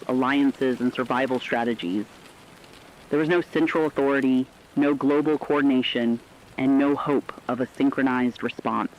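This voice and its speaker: Muffled male narrator, solemn and dramatic. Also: mid-range voice. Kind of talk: dramatic scripted narration of an apocalypse story.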